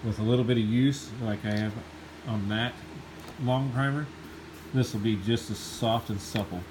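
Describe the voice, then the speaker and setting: A man's voice: on the low side, fairly even intonation, moderate pace, background noise. A man with a slight cold, sniffling a little, giving an enthusiastic, rambling casual review of a Bible.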